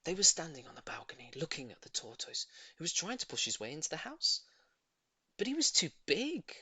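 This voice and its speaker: A woman reading a children's story aloud as expressive, dramatic narration. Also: sits low, fairly quick, breathy edge, no echo. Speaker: audiobook reader.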